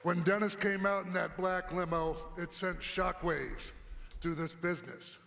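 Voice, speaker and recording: Gravelly high-pitched male speaker, long arena reverb. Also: steady tone, a little slow, fairly bright tone, slight background noise. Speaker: ceremony speaker. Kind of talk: ceremony speech. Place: large arena hall.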